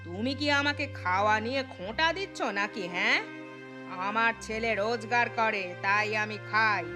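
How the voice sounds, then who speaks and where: A woman's voice: fairly high pitch, normal speed, over music, natural voice. A woman voicing a gluttonous, self-pitying elderly mother-in-law in a cartoon story, with a demanding, whining manner. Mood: aggrieved.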